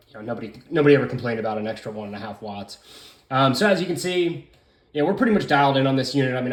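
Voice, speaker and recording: A man giving a casual hands-on product review, explaining things in an informal, rambling way as a hobbyist. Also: medium pitch. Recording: roomy, echoing room sound.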